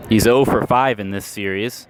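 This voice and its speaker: A man calling a minor league baseball game as a radio play-by-play announcer, steady and measured, rising sharply on the big plays. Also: lowish voice, slight background noise. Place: ballpark, outdoors.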